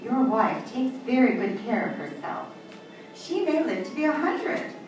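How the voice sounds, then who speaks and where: A woman acts an arch, skeptical mistress in a radio melodrama. Her high voice moves in lively swoops, echoing from a distance with music clearly behind. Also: unhurried. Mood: cool, skeptical. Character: coolly skeptical mistress of a married man. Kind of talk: old-time radio drama acting. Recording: echoey far-off hall with music behind.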